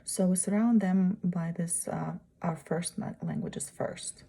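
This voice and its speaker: Woman in her 30s, low gravelly voice, halting presentation, keeps pausing for words. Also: huge pitch swings, dark tone. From Uzbekistan. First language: Russian. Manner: halting, searching for words, frequent pauses. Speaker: student presenting a course project. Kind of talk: unscripted spoken presentation.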